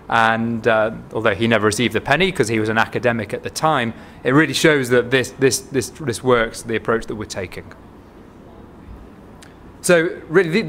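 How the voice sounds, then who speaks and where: A man with a Manchester accent, a company chief giving a chatty investor pitch full of ums and restarts. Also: a bit bright, slight background noise. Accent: Manchester English. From England. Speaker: company CEO presenting to investors. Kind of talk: conference pitch presentation. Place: conference room.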